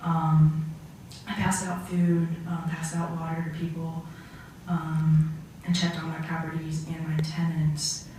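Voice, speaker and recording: A woman playing a humble 47-year-old immigrant man giving halting testimony on the witness stand in an amateur mock trial. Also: deep voice, completely flat delivery, warm tone. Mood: earnest, hesitant. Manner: halting, hesitant, frequent ums and pauses. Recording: echoey room, far from mic, faint noise.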